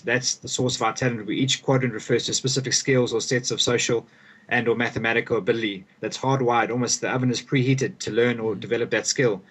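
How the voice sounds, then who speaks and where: A man's voice: medium pitch, slightly rough. A young man from South Africa, a guest author, giving thoughtful conversational answers and often repeating words as he restarts sentences. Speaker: guest author and performance coach.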